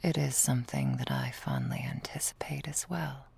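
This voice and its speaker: A woman playing a loving, patient vampire girlfriend in a whispered ASMR roleplay, with soft breathy delivery and tender, languid, formal phrasing. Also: extremely low pitch, huge pitch swings, moderate pace, full character voice.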